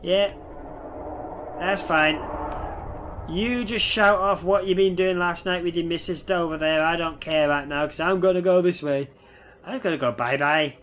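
A man's voice: fairly high pitch, unhurried, fairly bright tone, slight background noise. A man in his thirties with a head cold and a nasal voice, chatting casually over a game and swearing at the creatures on screen. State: head cold, stuffy nose. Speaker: gameplay streamer. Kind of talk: casual gameplay commentary. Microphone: headset.

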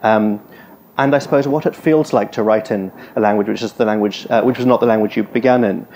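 A man's voice: lowish voice, fairly even intonation, brisk, darkish tone. Man moderating a stage panel, hesitant, lots of fillers, faint hiss. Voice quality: hesitant, filler-heavy phrasing. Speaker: event chair. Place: stage at a book festival.

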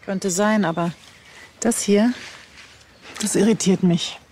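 An actress playing a matter-of-fact forensic examiner, precise and measured, in a TV crime drama with comic tone. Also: female voice, mid-range voice, fairly even intonation, warm tone, a little hiss or hum, lightly acted. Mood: clinical, thoughtful. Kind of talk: TV crime comedy dialogue.

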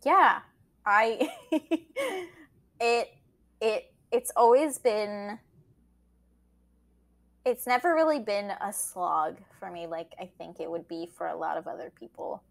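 A woman whose first language is Portuguese speaks as an interview guest at an unhurried pace. Her talk is casual and loose, full of fillers and quick laughs, and her voice sits in an ordinary middle register. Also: medium pitch, expressive, gravelly. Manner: chatty, laughs often, trails into fillers. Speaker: anthology editor, guest.

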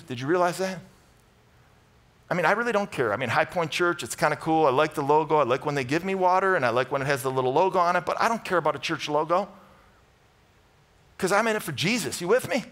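A middle-aged American man preaching a conversational sermon, emphatic and animated, moving between loud bursts and hushed asides. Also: a little high, fast. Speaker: pastor preaching. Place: large church auditorium stage with audience.

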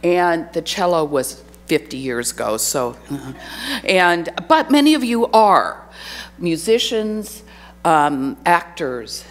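A woman's voice: fairly low pitch, big pitch swings, takes it slowly. A middle-aged woman giving an art lecture at a podium microphone, chatty and self-deprecating, with a faint hum behind her. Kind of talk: lecture to an adult audience. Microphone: podium microphone on a stand.

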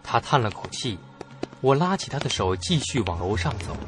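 A man's voice: medium pitch, very animated, moderate pace, music in the background. A man narrating a suspense story in a dramatic, theatrical audio-drama style. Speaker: first-person narrator of a horror story.

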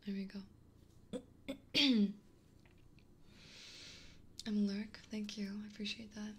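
A young woman streamer whispering softly and gently, chatting with viewers in an ASMR livestream. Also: mid-range voice, level tone, takes it slowly, slightly rough. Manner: soft whispering, gentle and reassuring.

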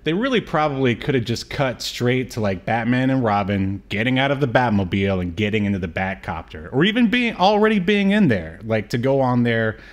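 A middle-aged man with a medium-pitched voice talks casually as a podcast co-host. He has a joking, conversational manner and speaks from a room on a video call. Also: lively intonation, brisk, few pauses. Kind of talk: casual movie discussion.

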